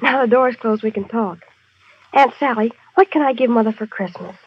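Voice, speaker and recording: An earnest young niece in a vintage radio commercial. Her breathy voice sits a little high. The recording sounds dull. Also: normal speed. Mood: eager. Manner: eager and earnest. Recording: dull old radio broadcast.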